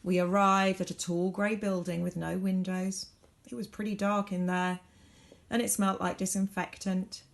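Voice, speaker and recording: A woman reading a children's storybook aloud as a teacher would, with dramatic, varied emphasis and deliberate pauses. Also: medium pitch, little pitch movement, creaky.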